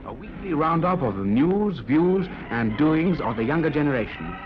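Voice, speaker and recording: Lively young man presenting on old radio. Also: fairly high pitch, moderate pace, over music. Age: young adult. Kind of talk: scripted 1950s radio presenting. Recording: muffled, noisy 1950s radio recording.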